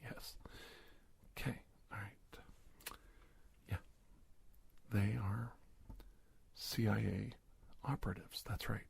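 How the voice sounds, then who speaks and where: Man acting a low, hushed, hesitant secret-agent doctor. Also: little pitch movement, vocal fry, breathy edge, whispered, full character voice. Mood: reassuring, secretive. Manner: halting, hushed, gently coaxing. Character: earnest, hesitant male secret-agent doctor, confiding and reassuring. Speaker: ASMR roleplay performer. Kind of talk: soft-spoken ASMR roleplay.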